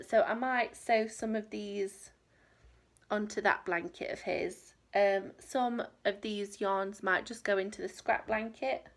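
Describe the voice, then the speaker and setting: A woman's voice: medium pitch, fairly bright tone. Chatty woman with a stuffy nose. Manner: rambling, chatty, self-deprecating. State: stuffy nose, nasal from a cold. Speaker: crochet vlogger. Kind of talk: casual chat to camera. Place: small home craft room, indoors.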